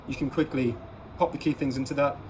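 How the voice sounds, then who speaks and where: A man's voice: medium pitch, steady tone, brisk, a little mellow, rough recording. A man hosting a casual gear vlog, talking viewers through products in an instructive, advising way and stressing key words. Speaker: host of an everyday-carry gear vlog. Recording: clear background ambient noise.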